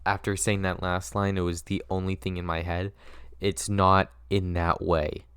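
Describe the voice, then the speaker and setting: A man's voice: low voice, little pitch movement, a little slow, a bit bright, smooth, dry recording. A man narrating a script at a table read, adding casual asides as he goes.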